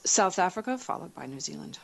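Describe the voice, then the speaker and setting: A woman's voice: lowish voice, sing-song, fairly quick, gravelly, fairly bright tone, husky. A woman chairing a formal meeting in a conference room, brief and courteous.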